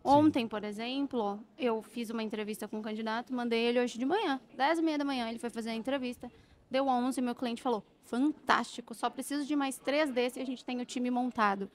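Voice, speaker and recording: Brazilian woman, career guest, high voice, lively, punchy delivery. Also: a little fast. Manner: emphatic, animated, acts out quotes. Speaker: remote career expert. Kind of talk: casual podcast interview.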